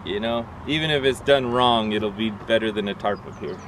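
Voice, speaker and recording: A middle-aged man with an ordinary-pitched voice chats in a matter-of-fact, joking way. He is outdoors on a rooftop, and a bit of background noise comes through. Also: moderate pace. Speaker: co-host doing the repairs. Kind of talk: casual on-camera chat.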